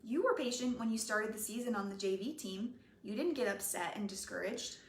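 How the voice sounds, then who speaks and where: A woman reading the part of a spirited, caring teenage girlfriend, teasing and unhurried, in an echoey room. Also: mid-range voice, expressive, natural voice. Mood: discouraged. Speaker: reader.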